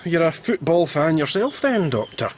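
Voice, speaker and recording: An actor playing a reserved young Scottish doctor, dry and incredulous, in a radio drama. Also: male voice, fairly high pitch, big pitch swings, moderate pace, slight background noise. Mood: exasperated. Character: reserved, sceptical young Scottish male doctor, easily exasperated. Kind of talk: broadcast radio drama acting.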